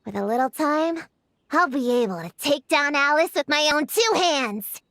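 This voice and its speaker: Anime game witch kid: high-pitched, self-serious, studious, stubborn. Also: expressive, moderate pace, a bit bright. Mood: scornful, boastful. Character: bookish young witch girl, stubborn, proud, self-serious. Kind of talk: anime-style video game character acting.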